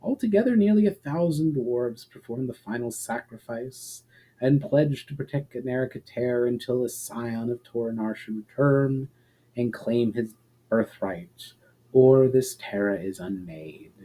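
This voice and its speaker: A man narrating a fantasy story as a dramatic reading, with deliberate, emphatic phrasing. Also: medium pitch, mellow, low-quality recording.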